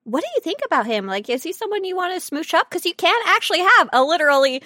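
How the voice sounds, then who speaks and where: A woman with a very high voice chats casually as a podcast co-host. She is silly and animated, with a teasing streak. The recording is dry, with no room sound. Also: fast talker, no room sound. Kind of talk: casual chat about game characters.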